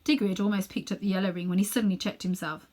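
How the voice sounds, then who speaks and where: A woman's voice: medium pitch, steady tone, rapid-fire, darkish tone. Woman reading a children's story. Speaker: storybook reader. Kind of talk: scripted narration of a children's novel.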